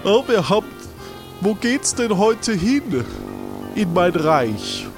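Snooty king for a pirate audio play, kinda high voice, drags out words, super pompous, music behind. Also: big pitch swings, exaggerated voice. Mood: haughty. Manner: pompous, drawn-out royal address in third person. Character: haughty, pompous king looking down on common folk. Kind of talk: improvised adventure audio play.

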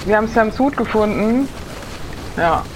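A woman's voice: mid-range voice, lively intonation, measured pace, noisy background. A woman improvising as a player in an audio adventure, speaking in character and describing what she does. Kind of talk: improvised in-character role play.

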